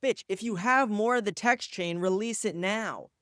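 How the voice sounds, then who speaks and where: A man's voice: high-pitched, moderate pace, bright, forceful tone, smooth, dry recording, clean studio sound. A man hosting his own live stream, reacting to clips and posts in a blunt, profane, ranting way. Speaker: show host.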